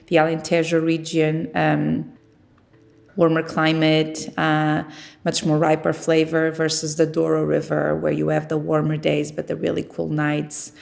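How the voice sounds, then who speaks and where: Woman with an even, creaky, lowish voice. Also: unhurried, soft background music. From Portugal. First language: Portuguese. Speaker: winemaker being interviewed. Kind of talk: conversational interview about own work.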